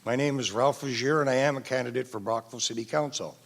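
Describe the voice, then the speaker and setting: A man, a city council candidate, giving a formal, deliberate opening speech to the room. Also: medium pitch, gravelly. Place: council chamber debate.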